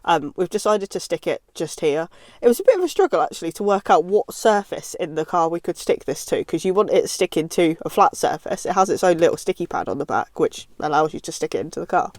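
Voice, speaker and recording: A woman's voice: on the low side, lively intonation, brisk, darkish tone, dry recording, clean studio sound. A British woman giving a casual how-to chat as a vlog presenter inside a car.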